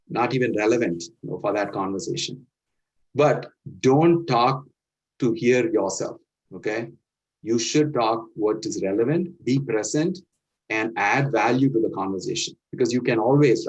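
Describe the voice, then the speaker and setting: Low-voiced Indian man giving advice. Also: a little mellow, slightly rough. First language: Indian language. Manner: earnest, instructive, peppers points with "right?". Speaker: speaker giving career advice. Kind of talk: motivational talk. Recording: dull lo-fi sound.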